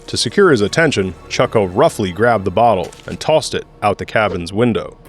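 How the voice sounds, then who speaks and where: A man's voice: on the low side, expressive, brisk, faint music behind. A man reading scripted third-person narration for an audio drama.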